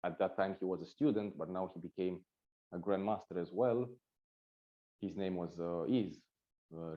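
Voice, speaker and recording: A man's voice: sits low, lo-fi sound. A man from Romania with a Romanian accent, a Reiki teacher answering interview questions, searching for words with fillers and restarts.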